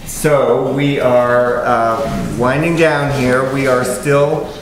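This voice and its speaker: A man chairing a town board meeting, calling on speakers and keeping order deliberately. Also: mid-range voice, fairly even intonation. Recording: very echoey hall, clear background noise.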